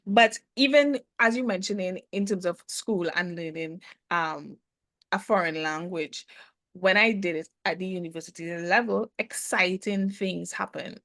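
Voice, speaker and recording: A woman guest, a business consultant, speaking reflectively in a casual interview with frequent hesitant pauses. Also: lowish voice, fairly bright tone, no room sound. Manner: thoughtful, hesitant, many "um" pauses.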